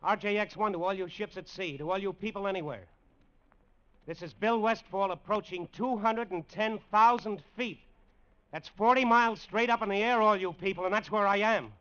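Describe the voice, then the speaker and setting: A lone rocket-plane pilot in an old radio drama. The voice is high and forceful, halting between awe and strain. The recording is dull, vintage lo-fi. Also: male voice, smooth. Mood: hushed awe. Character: male test pilot alone in a rocket plane, awed, straining.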